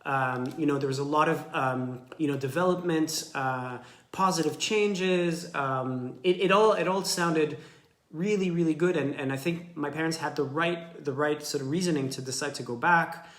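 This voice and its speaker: A man in his thirties from Canada, chatting casually and speaking haltingly, with many fillers and repeated words. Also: fairly high pitch, a bit bright. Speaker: co-host of a live stream. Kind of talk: casual chat. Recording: echoey room.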